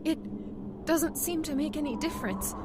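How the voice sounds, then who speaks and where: An actress playing a frightened wife in a haunted house in an old-time radio horror play, melodramatic and alarmed. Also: female voice, sits high, animated, normal speed. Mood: uneasy, alarmed. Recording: loud wind-like noise over the voice.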